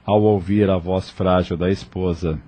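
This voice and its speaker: A man's voice: low-pitched, fairly even intonation, a little slow, mellow. A man narrating an audio novel in a dramatic, scripted storytelling style.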